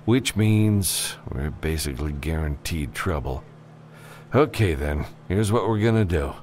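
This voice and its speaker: A man voicing a gruff, reluctant freelance spaceship captain, curt and businesslike, in a science fiction audiobook. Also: extremely low pitch, lively intonation, unhurried, darkish tone, a little hiss or hum. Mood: resigned, decisive.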